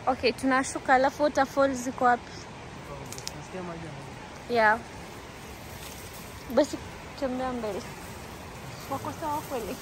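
A woman's voice: sits high, steady tone, moderate pace, pauses often. A young woman in her twenties vlogging a hike on a forest trail, chatty and giggly, switching between English and Swahili, filmed on a phone held at arm's length. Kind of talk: casual vlog narration while hiking. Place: outdoors on a forest trail near a waterfall. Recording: outdoor noise from stream and waterfall.

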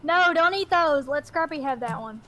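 High-voiced giggly young woman gamer. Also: expressive, normal speed, bright, forceful tone. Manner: bossy directions, giggly. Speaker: fellow player. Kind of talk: casual gaming chat.

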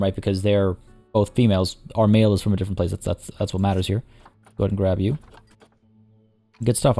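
A hoarse young man casually commenting as he plays a zoo-building game, chatty and rambling. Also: fairly low pitch, dark tone. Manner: rambling, chatty, many restarts and fillers. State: hoarse, losing his voice. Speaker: let's play host.

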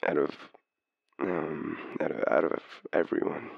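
A very deep, heavily creaky Australian voice, a man playing a shy, tipsy young guy in love. He talks slowly in halting, rambling phrases, as in an intimate late phone-call roleplay. The sound is close and dry. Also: level tone, dry recording, exaggerated voice. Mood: shy, playful. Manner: hesitant, rambling, halting, breathy chuckles. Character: tipsy, shy, lovestruck young man phoning his crush. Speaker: voice actor in boyfriend roleplay.

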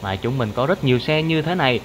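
A man's voice: mid-range voice, expressive, fast, a bit bright. A man narrating a children's cartoon, addressing young viewers as friends. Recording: clear background vehicle noise.

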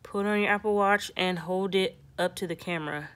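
A woman's voice: medium pitch, fairly even intonation, fairly quick, a bit bright. A young woman hosting a casual step-by-step tech tutorial, thinking aloud and reading prompts in an informal way.

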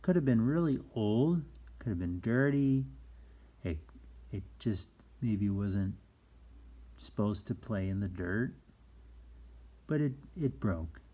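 Slow, low-voiced man reading to children. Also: lively intonation, dark tone. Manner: explains simply, as to young children. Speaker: children's book read-aloud host. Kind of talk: informal picture-book read-aloud with asides.

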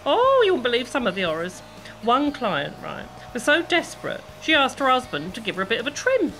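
A high, very animated salon owner, acted by a woman in an audio play. She is chatty and bustling, gossiping and gushing in a bright, forceful voice. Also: fairly quick, soft background music, exaggerated voice, low-quality recording. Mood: gossipy, cheery. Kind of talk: amateur audio play acting.

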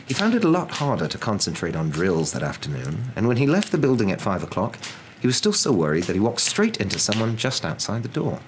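A young man reading a story aloud as a dramatic, expressive ASMR narrator. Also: deep voice, slight background noise.

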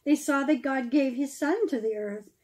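A middle-aged woman reads a rhyming children's story aloud in an expressive, dramatic manner, pausing for emphasis. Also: high voice. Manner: dramatic, emphatic phrasing with pauses, explaining asides to children. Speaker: pastor reading a children's story.

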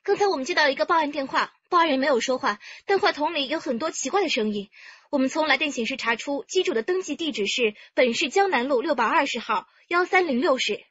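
An actress playing an earnest, concerned police emergency call operator with a formal, insistent manner in a radio drama. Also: female voice, high voice, animated, fast talker, a bit bright, dry recording, lo-fi sound.

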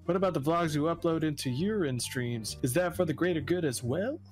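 A man's voice: a little high, fairly quick, a little mellow, faint music behind, lightly acted. A man voicing a vain, attention-hungry young influencer in a cartoon, talking in cocky bro slang. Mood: cocky, boastful.

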